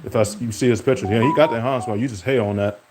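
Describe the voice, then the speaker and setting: A man's voice: very fast, over music. A young man streaming, rambling from topic to topic in casual talk and speaking to an absent rival. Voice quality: deep voice.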